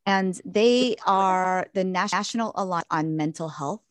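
A woman clinician who works with children and teens speaks in a measured, halting way in a casual interview, with many restarts. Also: on the low side, dry recording. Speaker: child and adolescent mental health clinician.

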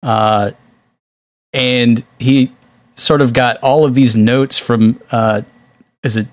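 A man's voice: mid-range voice, fairly even intonation, takes it slowly, no room sound. A man co-hosting a podcast, talking casually about movies in an informal, wryly amused way. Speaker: podcast co-host.

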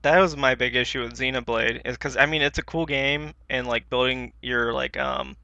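A man chats casually about video games as a podcast co-host. His voice is clear and crisp with a gravelly creak, and his manner is colloquial and easygoing. The recording is dry and a little dulled. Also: mid-range voice, fairly even intonation, moderate pace, pushed and bright, dry recording, muffled recording.

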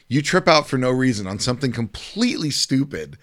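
A middle-aged man with a deep voice co-hosting a podcast, chatting casually and rambling a little, repeating words as he tells his stories. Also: very animated, moderate pace, fairly bright tone. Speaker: podcast co-host. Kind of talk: casual chat.

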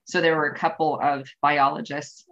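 A woman in her thirties talks as an interview guest, with a low voice. She rambles through her answers with frequent ums and false starts. The audio is dull and lo-fi. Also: flat delivery, moderate pace, vocal fry, a bit bright. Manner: rambling, with many ums and restarts. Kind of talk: casual interview conversation.

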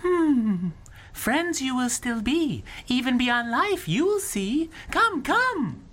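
A woman playing a calm, cryptic enchanter in an audio drama, speaking soothingly in odd inverted phrasing. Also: high voice, slow pace, heavily acted.

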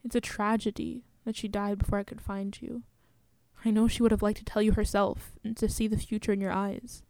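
A woman acting in a radio play as a guarded genocide survivor and refugee, speaking in a measured, grave and earnest way. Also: mid-range voice, little pitch movement, a little mellow, dry recording, lightly acted.

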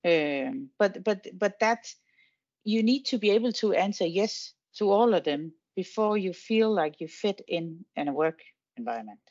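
A woman's voice: medium pitch, lively intonation, normal speed, darkish tone, dull audio. A woman speaking casually as a panel guest, agreeing and repeating words while she thinks.

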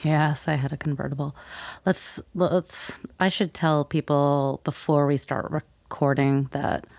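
A woman's voice: low-pitched, level tone, vocal fry, darkish tone. A woman in her thirties hosting a podcast and chatting playfully with a friend. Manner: playful, quick to laugh. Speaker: podcast host. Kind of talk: casual chat.

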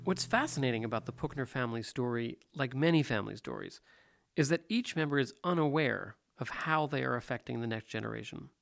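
A man hosting a podcast delivers scripted narration in a steady, measured way. His voice has a gravelly fry and sits at an average pitch. Soft music runs underneath. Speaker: podcast host.

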